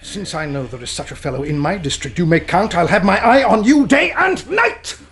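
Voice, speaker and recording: Stern, very high-voiced gentleman doctor. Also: lively intonation, normal speed, crowd noise. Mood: stern, commanding. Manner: formal, emphatic, cutting. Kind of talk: radio play acting.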